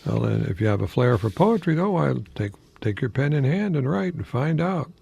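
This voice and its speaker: Gravelly older American man on radio. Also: medium pitch, sing-song, normal speed, dark tone, no echo. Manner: rambling, hesitant, chuckling asides. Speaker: radio host, college professor. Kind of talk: informal radio monologue.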